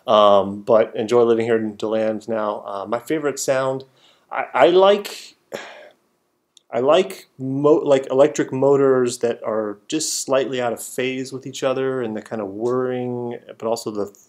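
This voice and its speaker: A man's voice: medium pitch, unhurried, a little mellow. A man in his thirties, a professor from Florida, talking casually to a class with many ums and restarts, recorded on a laptop webcam in a classroom.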